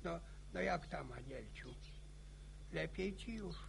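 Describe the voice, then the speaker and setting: An actor playing a chatty, grumbling old farmer in a radio drama, stammering and repeating words. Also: male voice, a little high, expressive, slow pace, creaky, background noise, exaggerated voice. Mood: coaxing, fond.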